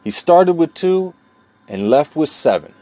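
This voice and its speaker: A man from New York speaking a personal monologue, deliberate and emphatic, with long pauses and repeated key points. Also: a little high, sing-song, mellow, no room sound. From New York, USA. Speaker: vlogger telling his own story.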